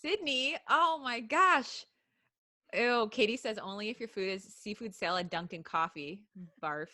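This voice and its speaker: A woman co-hosting a live podcast stream, giggly and excitable, reading out chat messages over a video call. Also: a little high, unhurried. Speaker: podcast co-host.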